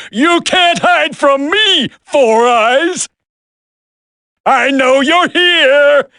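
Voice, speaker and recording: A man's voice: very high-pitched, animated, drawn-out words, bright, forceful tone, dry recording, studio quality, exaggerated voice. A man voicing a gleeful, sadistic, childishly taunting video game villain with a cackling, singsong delivery. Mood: manic glee.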